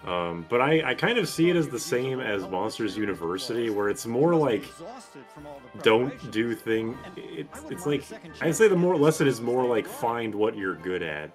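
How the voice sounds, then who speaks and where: A man's voice: mid-range voice, big pitch swings, normal speed. A man giving casual, rambling gameplay commentary with some swearing. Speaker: video game streamer. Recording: game music behind the voice. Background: video game music.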